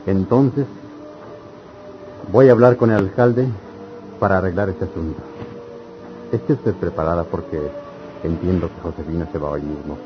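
An actor with a Mexican accent playing a kindly, solemn village priest in an old radio soap. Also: male voice, deep voice, normal speed, long pauses, natural voice. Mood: earnest, reassuring. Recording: muffled old radio recording, music behind.

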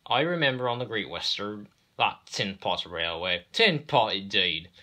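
A man's voice: lowish voice, lively intonation, unhurried, pushed and bright, exaggerated voice. A man voicing a boastful storybook steam engine, blustering and indignant.

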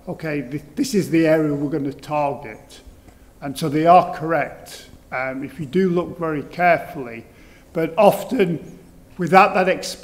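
A male researcher answering audience questions in a lecture hall, often stumbling and restarting his words. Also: on the high side. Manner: stammering restarts. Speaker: presenter, researcher.

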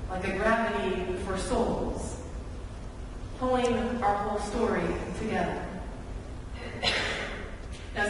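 Woman preaching in a very echoey church. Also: mid-range voice. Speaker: pastor. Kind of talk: sermon. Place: large church sanctuary, at the pulpit. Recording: echoey church hall, distant mic, background noise.

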